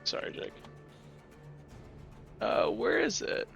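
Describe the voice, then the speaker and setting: A man's voice: slow, a bit bright, muffled recording, faint music behind. A young man running a tabletop game as game master, rambling with fillers and self-corrections.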